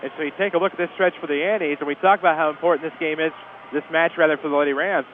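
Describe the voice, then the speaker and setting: Guy calling a high school game pregame on radio, talks fast, tinny phone line with noise behind. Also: steady tone. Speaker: play-by-play sports announcer. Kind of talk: live local radio pregame commentary. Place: indoor school sports arena.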